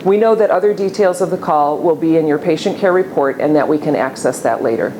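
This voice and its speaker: A woman's voice: sits low, brisk. A woman on a hospital's staff giving a scripted instructional explanation. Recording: clearly noisy, ambient background sound.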